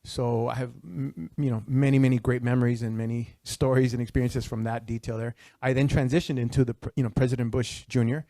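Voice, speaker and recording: A man's voice: medium pitch, steady tone, fast, hardly any pauses, dark tone, no echo. A man from Texas in his fifties, a retired Secret Service official speaking on a panel with a handheld mic, conversational and full of restarts. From El Paso, Texas. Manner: conversational, many restarts and fillers. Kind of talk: panel discussion, personal career story. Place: auditorium stage before an audience. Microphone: handheld microphone.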